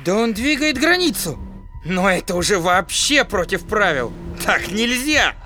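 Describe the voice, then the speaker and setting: Small plucky fairy-tale hero: extremely high, swooping voice, earnest, excitable, indignant. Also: male voice, a little slow, faint music behind, heavily acted. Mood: dismayed, defiant. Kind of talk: children's musical audio play acting.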